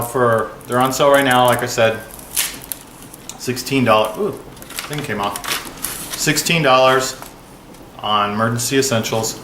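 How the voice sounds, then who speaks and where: Male home reviewer, lowish voice, informal and rambling, recorded in an echoey room over clear noise. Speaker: product review video host. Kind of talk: casual rambling hands-on review.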